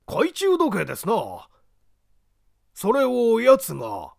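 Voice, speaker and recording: A man reading an audiobook, voicing an excitable, polite gentleman with an exclamatory manner. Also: very high voice, gravelly, pitched above the performer's own voice, exaggerated voice. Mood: surprised. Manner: exclamatory, mocking politeness, drawn-out guffaws. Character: excitable, polite Japanese gentleman, astonished then heartily amused.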